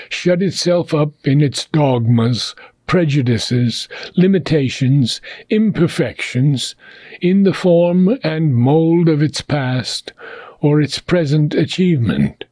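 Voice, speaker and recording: Man reading a philosophy text, very slow, soft husky tone, measured pauses, dry close sound. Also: mid-range voice, dry recording. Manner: measured phrasing with long pauses between short phrases. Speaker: reader of a philosophy book. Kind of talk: slow, deliberate reading aloud.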